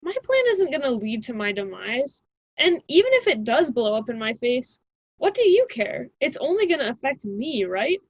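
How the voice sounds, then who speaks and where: A woman playing a stubborn, cocky college student in an amateur radio drama, flippant and husky, on a dry, dull recording. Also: high-pitched, very animated, moderate pace, dry recording, heavily acted. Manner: flippant, casual, argumentative.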